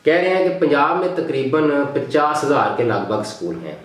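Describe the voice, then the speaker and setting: A Pakistani man giving unscripted political commentary as a vlogger, speaking in halting, broken phrases as he thinks aloud. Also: fairly high pitch, big pitch swings, faint music behind. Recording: very echoey, reverberant room.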